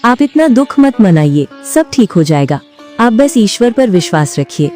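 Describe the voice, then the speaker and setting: A woman voicing a gentle village wife in a moral-story cartoon. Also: mid-range voice, very animated, normal speed, faint music behind, lightly acted. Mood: consoling. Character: gentle, devout village wife in a family drama.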